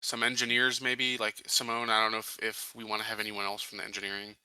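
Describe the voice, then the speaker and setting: Very bright-voiced man, thinking aloud. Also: on the low side, completely flat delivery, fast talker, clear, resonant tone, no room sound, rough recording. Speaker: tabletop game player. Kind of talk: casual game planning talk.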